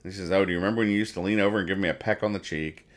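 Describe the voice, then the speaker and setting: Low-voiced man rambling through comic strips. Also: steady tone. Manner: rambling, halting, mock-explaining jokes. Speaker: podcast host reading newspaper comics. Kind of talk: casual comedic commentary, describing comic strips aloud.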